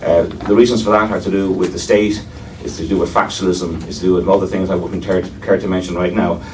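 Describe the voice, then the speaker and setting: Low-voiced Irish man chairing a panel. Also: fairly even intonation, fast talker, darkish tone. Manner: wry, digressive, with frequent hesitations. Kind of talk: formal panel introduction. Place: lecture room before an audience. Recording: distant mic, faint noise.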